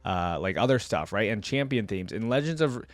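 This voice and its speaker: A man's voice: lowish voice, lively intonation, moderate pace, no echo. A young adult man, hosting a reaction video in casual, rambling chat.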